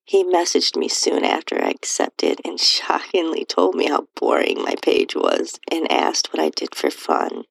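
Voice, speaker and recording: A woman with a breathy, husky voice reading a first-person erotic story in a soft, intimate, near-whispered delivery. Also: low voice, big pitch swings, unhurried, few pauses, dry recording, poor audio. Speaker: podcast host narrating own stories.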